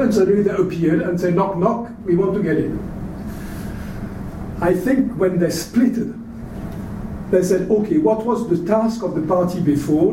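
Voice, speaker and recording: A man speaking as an at a panel discussion, hesitant with many fillers. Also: sits high. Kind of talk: discussion talk. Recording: echoey room, far from mic, noisy.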